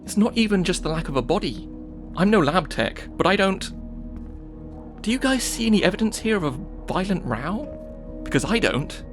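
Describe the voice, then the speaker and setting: Man playing a higher-voiced, tentative detective. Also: sing-song, normal speed, music in the background. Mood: tentative doubt. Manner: tentative, questioning. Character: Kinsey, hesitant, questioning, level-headed male police detective. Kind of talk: audio drama acting.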